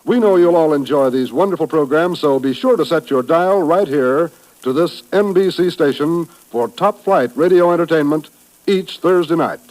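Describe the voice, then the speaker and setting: A man's voice: fairly high pitch, moderate pace, a little mellow, rough recording. A male announcer delivering formal, emphatic program introductions and promotions, in the style of 1950s network radio.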